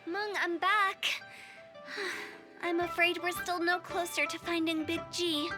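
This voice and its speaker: Very high-voiced playful young funeral director. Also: female voice, little pitch movement, takes it slowly. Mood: businesslike. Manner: theatrical, teasing. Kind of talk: anime game voice acting. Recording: game music under the voice. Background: game music.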